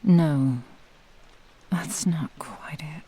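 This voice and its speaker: Girl doing a possessive fox witch asmr, low breathy whisper, real slow n teasing, kinda menacing. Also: mellow, slight background noise. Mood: intrigued, condescending.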